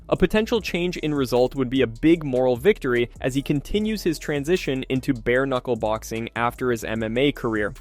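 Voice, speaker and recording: A man reading scripted combat sports news as a narrator. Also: medium pitch. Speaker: narrator of MMA news videos.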